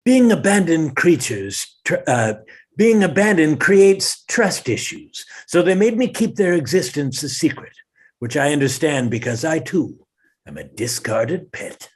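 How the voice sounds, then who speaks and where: Man as earnest animal storyteller, solemn, husky, slow and deliberate, animated comedy read. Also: a little high, heavily acted. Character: earnest talking animal interpreter, a discarded pet with a secret.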